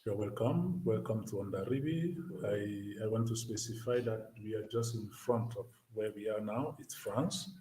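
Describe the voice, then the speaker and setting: A man hosting a live interview, talking slowly with many fillers and pauses. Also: on the low side, dark tone, a little hiss or hum. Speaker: host. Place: outdoors near a bay. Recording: echoey, from far off.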